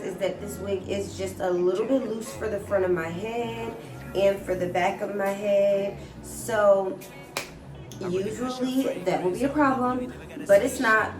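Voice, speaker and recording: A woman talks her viewers through a wig install in a chatty, friendly-tutorial way, her voice a little stuffy from a cold. She sits back from the mic in a roomy-sounding space. Music plays clearly underneath. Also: mid-range voice, recorded from a distance. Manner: chatty, keeps addressing viewers as guys. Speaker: hair vlogger. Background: background music.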